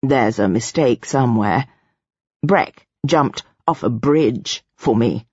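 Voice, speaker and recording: A woman voicing a deferential yet stubbornly loyal female retainer in an audiobook, quiet, tentative and slightly shaky. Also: extremely low pitch, slow pace, breathy edge, no room sound, highs cut off, pitched below the performer's own voice, heavily acted. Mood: shaken denial.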